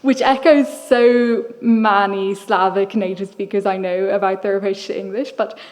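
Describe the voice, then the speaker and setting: A woman's voice: medium pitch, fairly even intonation, normal speed, darkish tone. A young adult woman from Ireland giving a thoughtful, wry public lecture in a reflective manner.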